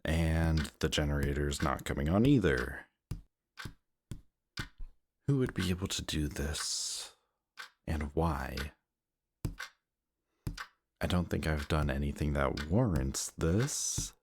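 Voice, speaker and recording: A man acting a possessive, anxious antihero villain in an emotional audio roleplay, halting and intense as he mutters. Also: extremely low pitch, expressive, background noise, heavily acted. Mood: uneasy, brooding. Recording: music behind the voice.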